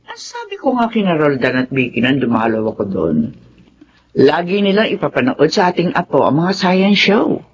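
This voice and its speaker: An old grandfather with a high, husky voice that swings up and down. He is firm, fussy and lecturing, in a dull radio drama recording. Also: huge pitch swings, moderate pace, a little hiss or hum. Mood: firm, advising. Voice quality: husky, thin aged voice. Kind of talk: Filipino radio drama acting.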